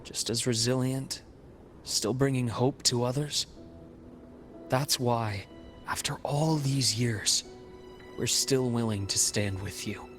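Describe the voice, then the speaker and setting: An actor playing a calm, steadfast male companion in a video game, speaking in a measured, earnest and reassuring way. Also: mid-range voice, natural voice. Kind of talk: video game cutscene voice acting. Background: background music.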